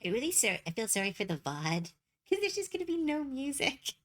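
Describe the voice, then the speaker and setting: A young adult woman streaming as she draws. She rambles and giggles as she thinks out loud, and her voice is going hoarse and tired. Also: high voice, sing-song, a little fast. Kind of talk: casual chat while drawing.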